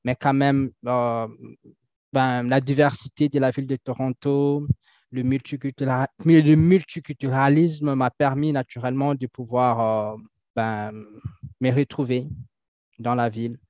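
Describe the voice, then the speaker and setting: A man's voice: medium pitch, flat delivery, unhurried, a little mellow, no room sound. Hesitant French-speaking man, dry dull sound. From French-speaking country. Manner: hesitant, many fillers and restarts. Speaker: guest. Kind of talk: interview answers. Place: Toronto.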